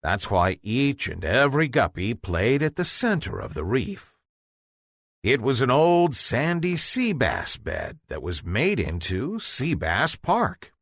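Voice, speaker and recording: Male storyteller for kids' bedtime tales: unhurried, hugely animated pitch, theatrical emphasis. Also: vocal fry, no room sound. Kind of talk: children's bedtime story narration.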